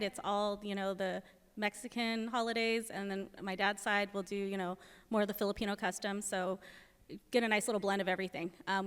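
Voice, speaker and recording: Smooth-voiced woman in her forties, dry wit. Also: medium pitch, a bit bright. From California. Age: 40s. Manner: dry, matter-of-fact humor. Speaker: PE teacher. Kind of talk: answering interview questions on stage. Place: school assembly hall. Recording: long hall reverb.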